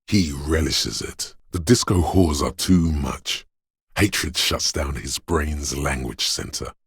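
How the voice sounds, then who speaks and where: An actor voicing a video game character, a paranoid, aggressive inner voice of fight-or-flight instinct, speaking in an ominous, raspy, urging way. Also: male voice, no echo, clean studio sound, heavily acted. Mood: menacing, brooding. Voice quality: very deep, gravelly, raspy. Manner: slow, deliberate, ominous urging.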